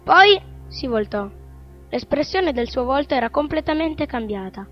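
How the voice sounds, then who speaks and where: A woman's voice: high voice, animated, measured pace. A woman narrator reading scripted narration for a radio drama. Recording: dull, lo-fi radio drama sound. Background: music.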